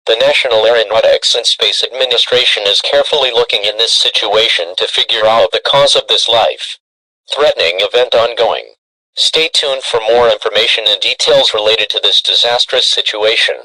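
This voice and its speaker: A man voicing a formal, measured emergency alert announcer reading a scripted official warning. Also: on the low side, sharp tone, low-quality recording. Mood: grave, official.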